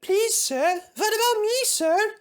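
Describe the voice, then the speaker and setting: A man voicing an eager, excitable young male steam engine in a storybook reading, breathless and bubbly. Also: very high-pitched, takes it slowly, voice pushed up, heavily acted. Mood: anxious.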